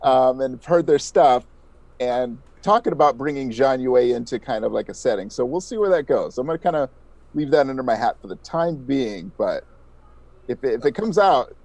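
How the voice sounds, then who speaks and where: A man's voice: mid-range voice, animated, moderate pace, darkish tone, no echo. A man speaking casually as an interview guest, a podcast creator giving his thoughts.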